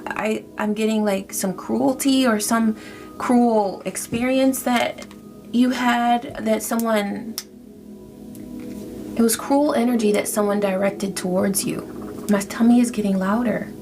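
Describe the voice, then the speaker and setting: A woman's voice: on the high side, fairly even intonation, slow pace, music in the background. A woman giving a casual spiritual tarot reading, reassuring and often checking in with "okay?". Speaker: tarot reader.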